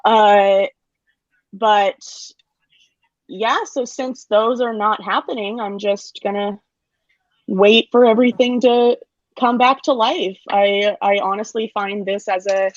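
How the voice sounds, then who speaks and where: A woman's voice: medium pitch, steady tone, slow pace, no echo. A woman in her twenties, a student actor, chatting casually and hesitantly as she thinks aloud. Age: 20s. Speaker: actor, graduating theater student. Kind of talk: casual chat. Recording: dry, slightly dull online audio.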